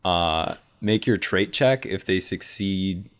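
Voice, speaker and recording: Low-pitched man improvising game narration. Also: clean tone. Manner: halting, repeats words as he improvises. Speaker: player in a tabletop roleplaying show. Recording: music behind.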